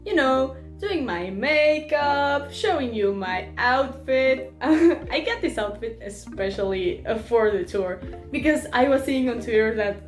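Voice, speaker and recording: A fairly high-voiced young woman chats and giggles through a casual vlog, tripping over restarts as she goes. Her voice bounces around an echoey room, with soft music playing underneath. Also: lively intonation, unhurried. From Mexico. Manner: chatty and giggly, with many restarts. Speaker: vlogger. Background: faint background music.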